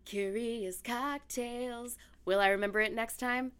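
Raspy-voiced woman chatting on a podcast. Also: fairly even intonation, measured pace. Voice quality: deep raspy voice from yelling. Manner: chatty, laughs while talking. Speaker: podcast co-host. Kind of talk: casual chat and storytelling.

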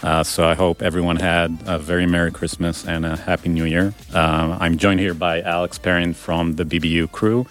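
A man's voice: low-pitched, flat delivery, normal speed, few pauses, soft background music. A man hosting a business school podcast, welcoming listeners and introducing a panel. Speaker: podcast host.

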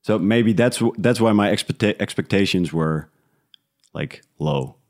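A man from the Netherlands with a fairly low voice speaks as an interview guest. His talk is casual and reflective, like an unscripted conversation. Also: lively intonation, measured pace, darkish tone. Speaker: interview guest, audio drama creator.

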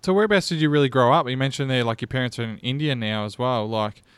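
A man's voice: medium pitch, quick pace, smooth, no room sound. A man hosting an interview, asking questions in a friendly, loose way and calling his guest "mate". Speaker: podcast host.

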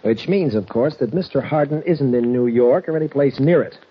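An actor playing a sharp, brisk man from the East in an old radio drama. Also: medium pitch, animated, slightly rough. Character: sharp Eastern man helping the hero. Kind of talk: 1940s radio acting. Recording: dull old radio recording with audible hiss.

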